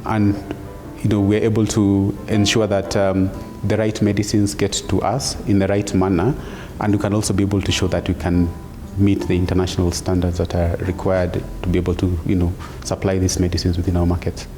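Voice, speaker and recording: A Kenyan man in his late thirties, a medical director, speaking earnestly with many pauses and ums. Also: low-pitched, monotone, music in the background. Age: 30s. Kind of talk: interview testimonial.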